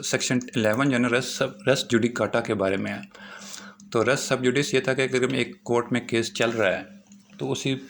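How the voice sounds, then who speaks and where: A Pakistani man speaks as a law lecturer at a middling pitch. He explains informally and repeats his points, moving between reading clauses and plain explanation. There is faint noise behind his voice. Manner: repetitive explaining, slows down to read out English legal clauses.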